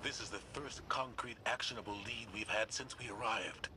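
An actor playing a stern, embittered older military commander in a video game, speaking gravely and argumentatively. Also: male voice, medium pitch, fairly even intonation, moderate pace, background noise, natural voice. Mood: frustrated. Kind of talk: dramatic video game acting.

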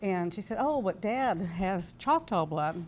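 Halting older woman telling her story, faint noise. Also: medium pitch, animated. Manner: halting, conversational, voices quoted dialogue. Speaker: interview guest. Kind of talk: personal storytelling in an interview. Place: indoor TV studio-style set at a convention. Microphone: lapel mic. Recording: background noise and room ambience.

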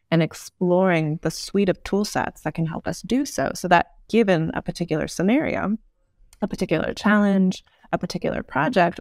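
Female podcast guest, articulate and explanatory, noticeable vocal fry, dry close recording. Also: lowish voice, a little mellow, no echo. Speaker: podcast guest, urban design expert. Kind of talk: thoughtful interview conversation.

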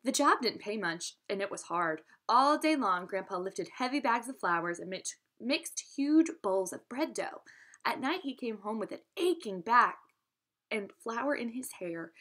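A young woman reads aloud like a teacher guiding a class. Her pitch moves in lively swings, and her delivery is expressive and instructive. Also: measured pace. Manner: instructive, addresses listeners with questions. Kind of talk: expressive storybook read-aloud with asides to students.

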